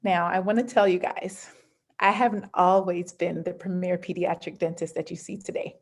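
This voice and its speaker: A woman's voice: on the low side, fairly even intonation, moderate pace. A woman giving an interactive online talk, speaking emphatically and directly to listeners over a video call. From born in Jamaica, grew up in Georgia, USA. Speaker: pediatric dentist, guest speaker. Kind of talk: prepared, interactive online presentation. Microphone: computer on a video call.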